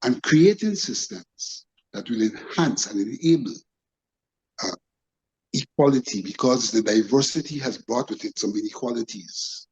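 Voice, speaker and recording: Guyanese guy on a political panel, formal and deliberate, bit muffled lo-fi sound. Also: mid-range voice, lively intonation, darkish tone. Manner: deliberate, formal, measured phrasing. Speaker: panel guest, political commentator.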